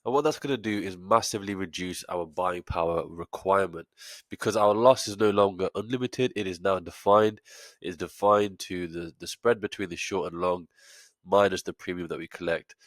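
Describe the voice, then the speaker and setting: Low-voiced man with a stuffy nose. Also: moderate pace, dry recording. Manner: steady, methodical explaining with frequent fillers. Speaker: trading educator. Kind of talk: screen-share tutorial.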